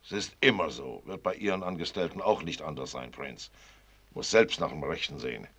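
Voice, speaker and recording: A man's voice: a bass voice, brisk, fairly bright tone, breathy edge, heavily acted. An actor in a German radio play voicing a blunt, fussy older father who grumbles in a clipped manner. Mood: grumbling.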